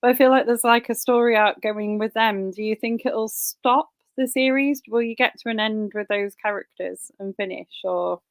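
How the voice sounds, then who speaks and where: A woman's voice: a little high, fairly even intonation, moderate pace, hardly any pauses. A woman hosting a book club author chat over a video call, chatty and enthusiastic. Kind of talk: casual interview hosting.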